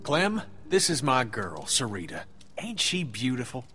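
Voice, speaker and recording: A man's voice: medium pitch, very animated, unhurried, a bit bright, breathy edge, faint music behind, heavily acted. An actor playing a middle-aged male survivor in a video game, plain-spoken and folksy, with a Southern US accent. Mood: upbeat. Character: gruff, warm-hearted middle-aged male survivor, grieving but hopeful. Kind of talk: naturalistic video game voice acting.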